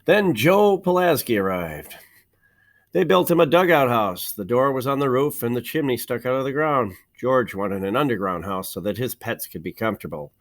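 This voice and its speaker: A man reading a children's picture book aloud in an expressive, measured storytelling manner, stressing key words. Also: mid-range voice. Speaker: storybook reader.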